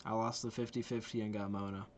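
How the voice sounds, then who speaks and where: A man's voice: on the low side, little pitch movement, quick pace. A man giving easygoing, chatty reaction commentary as a video creator watching game trailers.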